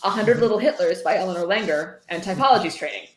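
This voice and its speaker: A woman's voice: lowish voice, expressive, fast. A woman with a dry, sarcastic manner, reading a book aloud and adding casual commentary. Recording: roomy echo, faint noise.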